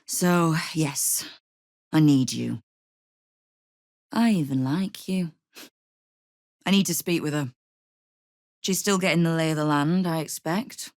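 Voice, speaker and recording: A woman's voice: low-pitched, slow pace, long pauses, a little mellow, dry recording. An actress playing a shrewd, hard-edged female crime guild boss in a video game, with a dry, wry manner and veiled threats. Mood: sardonic. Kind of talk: scripted video game voice acting.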